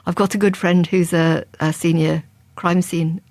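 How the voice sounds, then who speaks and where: A woman's voice: fairly low pitch, steady tone, normal speed, darkish tone, no echo. A woman from England, a crime writer, answering interview questions in a reflective, conversational way. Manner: reflective and conversational, with frequent restarts. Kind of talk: interview guest.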